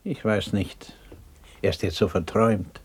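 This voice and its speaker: An actor playing a gentle, weary, kind-hearted father in a radio play, mild and a little hesitant, with a husky voice. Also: lowish voice, steady tone, unhurried. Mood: sad, reflective. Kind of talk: 1960s German radio play acting.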